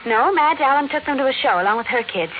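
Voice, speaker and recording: An anxious American wife in a vintage science fiction radio play. Her voice is somewhat high and swings expressively as she presses and pleads. The old recording is narrow and plainly noisy. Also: lively intonation, fast. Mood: insistent, worried. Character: anxious, insistent wife and mother in a futuristic home. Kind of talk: 1950s radio drama acting.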